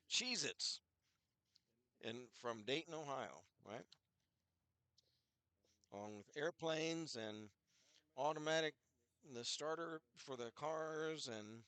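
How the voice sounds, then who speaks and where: Older male hockey announcer, head cold, rambling asides, smooth dry voice. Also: mid-range voice, a bit bright, no echo. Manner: rambling, chatty, self-deprecating asides. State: head cold with scratchy throat. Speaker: play-by-play announcer. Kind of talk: casual hockey broadcast commentary.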